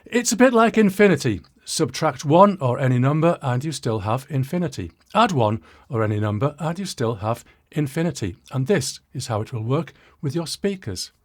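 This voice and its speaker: A British man in his sixties telling a story to viewers as a presenter, in a wry, self-deprecating way with dramatic pauses and asides. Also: medium pitch, lively intonation. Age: 60s. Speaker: presenter of an audio channel.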